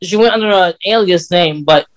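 Higher-pitched man explaining fan lore. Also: a little fast. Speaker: podcast co-host.

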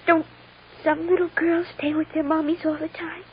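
A small, bewildered American girl speaks in a high, childlike voice on a muffled, faintly noisy old radio recording. She is plaintive and halting, stumbling over words as she seeks her mother's love. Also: flat delivery. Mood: bewildered, hesitant. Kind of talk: 1940s-50s American radio drama acting.

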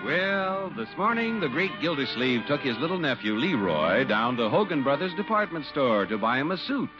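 A man's voice: on the high side, sing-song, moderate pace, loud music behind the voice. A man announcing in a polished, emphatic 1950s radio sales style, reading from a script. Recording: dull, husky-sounding old radio recording.

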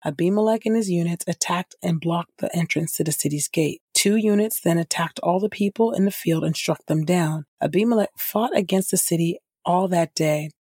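A woman reading scripture aloud for an audio Bible podcast in a measured, formal manner. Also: on the low side, mellow, husky, dry recording, studio quality. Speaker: scripture reader and podcast host.

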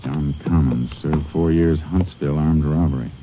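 Stern lawman lead; very deep, commanding, lo-fi radio sound. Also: normal speed, music in the background. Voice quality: clipped, commanding. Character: stern male Texas Ranger lawman. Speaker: narrator and lead. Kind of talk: 1940s radio acting. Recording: dull old radio recording.

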